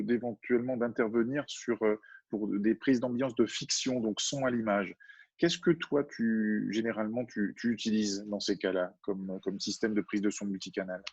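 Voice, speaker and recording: A man's voice: mid-range voice, breathy edge, dry recording, lo-fi sound. A man hosting a panel over a video call, asking questions and rephrasing them with restarts. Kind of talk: moderating a panel discussion.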